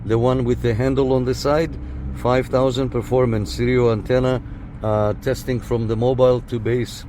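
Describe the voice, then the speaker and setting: A man's voice: mid-range voice, level tone, moderate pace, dark tone. A man hosting a casual hands-on radio gear test, speaking in short repetitive call-style phrases. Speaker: host of a CB radio antenna test. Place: inside a parked car and outside beside it. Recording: noisy car and outdoor background.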